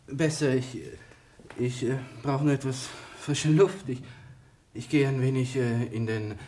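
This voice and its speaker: A man acting in a radio drama as a weak, overwrought, apologetic man who speaks haltingly. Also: medium pitch, steady tone, mellow, slight background noise, lightly acted. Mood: nervous, faltering. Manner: halting, hesitant. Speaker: actor playing a weakly man.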